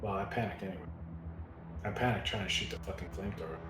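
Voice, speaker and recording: A man's voice: low-pitched, fairly even intonation, quick pace, a bit bright, slight background noise. A man playing a tabletop game, chatting casually and bluntly. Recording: roomy echo, clear music underneath.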